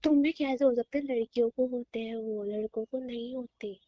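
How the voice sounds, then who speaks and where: A woman playing a hesitant, exasperated young bride who explains haltingly and pleadingly in a conversational audio drama. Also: on the high side, steady tone, lightly acted. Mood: patiently explaining. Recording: dull, lo-fi call-recording sound.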